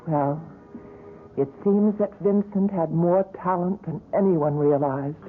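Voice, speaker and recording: A woman's voice: on the low side, slow, soft background music. An actress playing a devoted young woman who works at a gallery, in a 1970s radio drama, speaking fondly and reassuringly and sometimes narrating past events. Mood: reflective. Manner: fond, fluttery, reassuring. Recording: narrow lo-fi radio broadcast.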